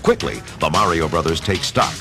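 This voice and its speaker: A man narrating an audio drama from a script, with expressive, dramatic storytelling delivery. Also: very deep voice, normal speed, vocal fry, over music.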